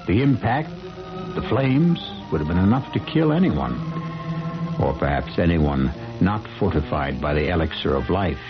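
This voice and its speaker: Male vintage radio host, very low pitch, dramatic swoops, wry, measured. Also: sing-song. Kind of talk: 1970s theatrical radio narration. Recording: muffled vintage radio recording, music behind.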